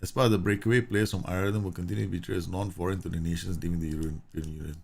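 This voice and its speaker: Male streamer from Singapore, slightly low-pitched, relaxed running commentary. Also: rapid-fire, vocal fry, husky. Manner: chatty, laughs often, reads game messages aloud. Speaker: gaming streamer. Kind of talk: casual live game commentary.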